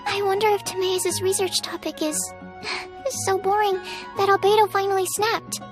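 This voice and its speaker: A woman's voice: very high voice, fairly even intonation, normal speed, over music. A woman voicing a timid, earnest young female alchemist in an anime-style game, hesitant and apologetic. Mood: calm, explanatory. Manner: hesitant, softly explanatory, apologetic.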